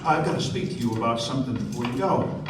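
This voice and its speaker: A man's voice: medium pitch, quick pace, natural voice. An actor playing a nervous, eager, genteel rich man with clipped politeness in a radio play. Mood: anxious. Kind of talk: live radio play acting. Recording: very echoey, distant, noisy stage recording.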